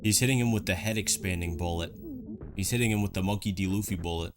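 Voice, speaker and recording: A man with a fairly low voice talks through game footage in a casual, conversational way. He reacts as he goes and slips in dry, joking asides. Music plays clearly behind him. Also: quick pace. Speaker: host of a video reaction and analysis show. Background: background music.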